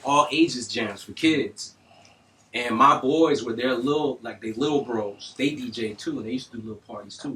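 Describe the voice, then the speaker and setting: A middle-aged man from North Miami telling stories in a casual studio interview, animated and full of street slang and cursing. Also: medium pitch. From North Miami, Florida. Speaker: music engineer guest. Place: recording studio. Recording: distant mic, faint noise.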